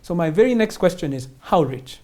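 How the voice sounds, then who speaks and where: Low-voiced woman explaining like a teacher. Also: lively intonation, brisk, darkish tone. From Kenya or wider Africa. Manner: emphatic, teacherly, poses questions and answers them. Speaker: personal finance instructor. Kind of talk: explanatory interview talk.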